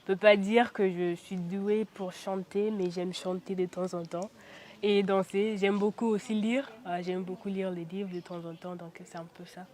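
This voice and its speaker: A woman's voice: medium pitch, little pitch movement, moderate pace, a little mellow. Young woman, chatty and hesitant. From Central African Republic. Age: young adult. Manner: chatty, frequent hesitations with "euh", laughs now and then. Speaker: interviewee, beauty pageant titleholder. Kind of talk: casual interview answers.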